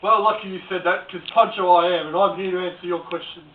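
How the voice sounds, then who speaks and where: Man as deadpan doomsday prophet, echoey room. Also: sits high, fast talker, natural voice. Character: deadpan, crude doomsday prophet answering questions. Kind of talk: amateur mock documentary. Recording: echoey, distant, tinny, noisy home recording.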